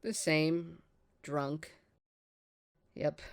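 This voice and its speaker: A woman's voice: sits low, level tone, extremely slow, smooth, heavily acted. A woman acting a brash, sardonic young woman in an audio drama, with a dry, teasing manner. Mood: bored, resigned. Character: brash, teasing young woman, bored with small-town life.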